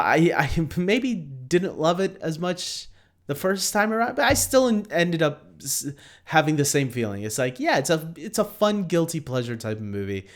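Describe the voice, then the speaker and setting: Chatty man with a smooth clear voice. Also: mid-range voice. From Canada. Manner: chatty, lots of fillers and restarts, easy laughs. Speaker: movie review vlogger. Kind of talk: casual spoken movie ranking.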